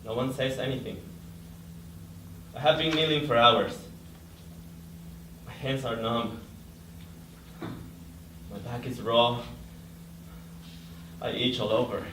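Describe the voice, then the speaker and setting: A man acting a suffering, stoic prisoner in short, measured sentences at a staged reading. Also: medium pitch, steady tone, natural voice. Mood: numb, suffering. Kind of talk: staged dramatic reading, monologue. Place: live staged reading indoors. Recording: distant, echoey room, faint noise.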